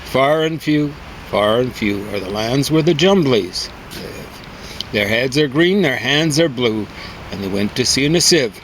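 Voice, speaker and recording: A man's voice: mid-range voice, lively intonation, unhurried, fairly bright tone, poor audio. A man speaking in a casual, conversational way as he chats and reads poetry aloud. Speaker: poem reader and reviewer. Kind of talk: casual chat and poem reading. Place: outdoors on a deck. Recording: outdoor recording with clear vehicle noise.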